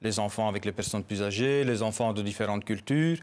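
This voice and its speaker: A man's voice: lowish voice, fairly quick. A local election candidate arguing his points insistently in a televised debate, often stumbling over words.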